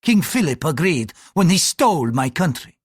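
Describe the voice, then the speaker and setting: An audiobook reader voicing an irritable, fanatical Portuguese priest who speaks in an impatient, accusing, forceful way. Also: mid-range voice, big pitch swings, measured pace, husky, dry recording, clean studio sound, voice pushed up. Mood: indignant, bitter.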